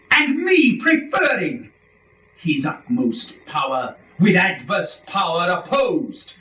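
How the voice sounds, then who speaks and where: A man's voice: high-pitched, voice pushed up, heavily acted. A man playing a proud, defiant fallen angel who leads hell, declaiming epic verse in a grand dramatic reading. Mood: defiant, fierce. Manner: declamatory, grand, swelling from hushed to shouted. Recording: roomy, distant mic, faint noise.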